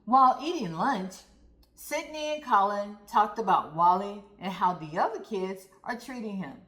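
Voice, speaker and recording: A woman reading a story aloud to children in an expressive, deliberate manner, speaking directly to young listeners. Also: mid-range voice. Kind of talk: read-aloud storytime narration. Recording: roomy, echoey sound.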